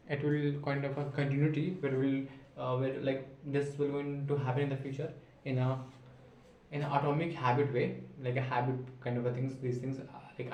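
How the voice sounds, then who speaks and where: A man's voice: mid-range voice, darkish tone, slight background noise. Nineteen-year-old man, unscripted self-talk vlog, rambling and self-encouraging, echoey roomy recording. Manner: rambling and self-encouraging, thinking aloud with frequent restarts. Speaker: vlogger practising spoken English.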